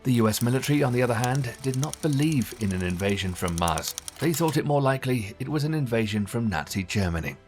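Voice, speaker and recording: A man reading scripted documentary narration in a measured, formal way. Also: mid-range voice, lively intonation, darkish tone, faint music behind.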